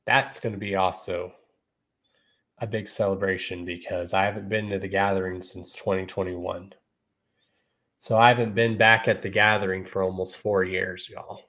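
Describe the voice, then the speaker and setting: A man's voice: low-pitched, level tone, moderate pace, fairly bright tone. A man in his thirties hosting his own podcast, talking casually to viewers and filling his speech with "you know" and "y'all.". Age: 30s. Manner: laid-back, repeats "you know" and "y'all". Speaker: podcast host.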